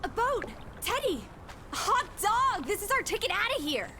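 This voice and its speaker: A woman's voice: extremely high pitch, very animated, moderate pace, background noise, full character voice. A woman voicing a sociable, playful young travel companion in a video game, with a breezy, wry, mock-dramatic way of talking. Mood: excited, carefree.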